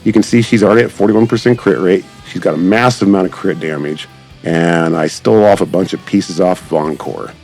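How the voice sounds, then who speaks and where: A man's voice: low-pitched, fairly quick, vocal fry, a little mellow. A man giving casual, enthusiastic, rambling gameplay commentary as a channel creator. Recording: background music behind the voice. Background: music.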